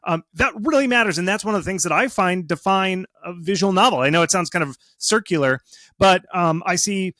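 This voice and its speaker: A man giving advice as a guest expert in a casual interview, speaking in an animated, informal, self-deprecating way. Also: sits high, brisk, no room sound. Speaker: guest game marketing expert. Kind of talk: casual interview talk, explaining and advising.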